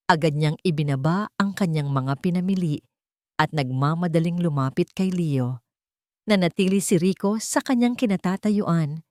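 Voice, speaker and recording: A woman narrating a drama story in a calm, measured, expressive way. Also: on the low side, no room sound, studio quality.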